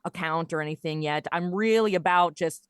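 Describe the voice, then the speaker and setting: Lower-voiced woman, chatty podcast guest. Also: moderate pace, clear, resonant tone, no room sound, clean studio sound. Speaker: podcast guest, audio drama creator and voice performer. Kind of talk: casual interview chat.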